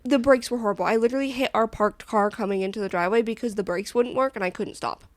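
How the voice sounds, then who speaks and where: Young woman podcast co-host, chatty rambling storyteller, slightly high voice, dry close sound. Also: fast talker, no echo. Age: young adult. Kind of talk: casual chat.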